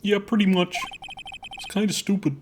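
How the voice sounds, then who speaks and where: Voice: mid-range voice, steady tone, normal speed, natural voice. A streamer playing an earnest young male defense lawyer in a live game dub, with dry, sarcastic asides. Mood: wry, matter-of-fact. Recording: music playing behind the voice.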